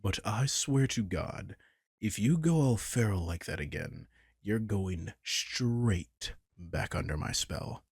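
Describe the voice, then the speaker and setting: A man playing a possessive, sardonic vampire king in an intimate roleplay audio drama, teasing and condescending with a husky, breathy edge. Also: fairly low pitch, expressive, slow pace, darkish tone, dry recording, clean studio sound, exaggerated voice. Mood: menacing, wary. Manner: teasing, condescending, casually profane.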